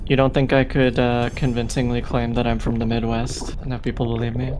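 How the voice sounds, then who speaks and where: A man's voice: medium pitch, little pitch movement, a little fast, noisy background. Dry-voiced man with a mild accent. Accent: mild, neutral with faint Australian and Irish traces. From Ireland. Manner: dry, wry and self-deprecating. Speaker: streamer's guest. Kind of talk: casual stream chat.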